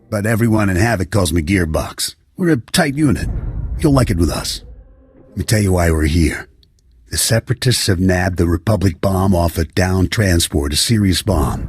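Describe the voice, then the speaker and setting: An actor playing an easygoing veteran army lieutenant and mechanic in a video game, with a folksy, chummy manner. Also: male voice, low-pitched, slight background noise.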